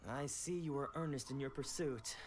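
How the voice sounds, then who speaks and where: An actor playing an earnest, protective young sword disciple in a video game, speaking formally. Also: male voice, medium pitch, normal speed, background noise, lightly acted. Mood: apologetic, earnest. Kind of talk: video game dialogue acting.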